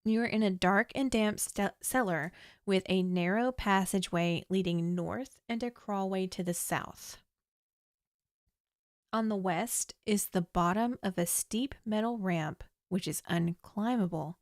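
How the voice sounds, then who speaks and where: A young woman with a slightly hoarse voice streams a text adventure, reading room descriptions aloud in a measured, storytelling way between chatty asides. Her pitch sits mid-range for a woman, and the close mic sounds dry, with no room sound. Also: a little mellow, no echo. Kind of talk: casual game commentary, reading game text aloud.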